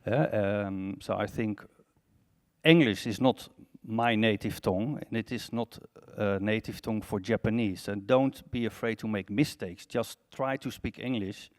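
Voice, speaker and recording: A man's voice: lowish voice, unhurried. A man speaking as a panelist, plain-spoken and somewhat hesitant in his phrasing. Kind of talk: live panel discussion.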